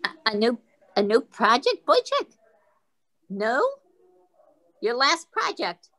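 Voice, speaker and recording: A woman's voice: a little high, big pitch swings, slow, fairly bright tone, full character voice. A woman playing a grouchy, blunt elderly great-aunt in a comic staged reading, complaining and dryly needling. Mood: sceptical.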